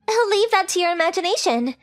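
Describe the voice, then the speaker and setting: A woman voicing a sweet, polite rich teenage girl who is coy and forward, in a romantic comedy comic dub. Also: very high voice. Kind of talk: anime-style comic dub dialogue.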